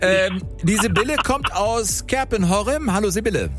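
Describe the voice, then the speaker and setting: A male radio quiz host with a fairly high, very animated voice. He presents a phone-in game in a lively show style, with music running behind him. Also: moderate pace. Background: music bed.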